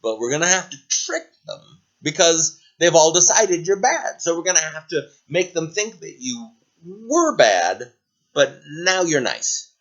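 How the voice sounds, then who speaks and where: Earnest knight, commanding and lively, played by a man, lo-fi muffled sound. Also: a little high, unhurried, pitched above the performer's own voice, exaggerated voice. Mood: scheming. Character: scheming brave male knight. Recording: dull lo-fi recording.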